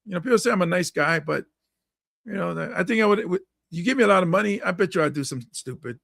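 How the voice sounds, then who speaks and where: Raspy hoarse guy hosting a podcast, kinda high voice, dry close sound, laughs a lot. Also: fast, dry recording. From Hawaii. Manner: animated, laughs often, acts out quotes. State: hoarse from coaching. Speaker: podcast host and comedian. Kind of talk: casual podcast chat.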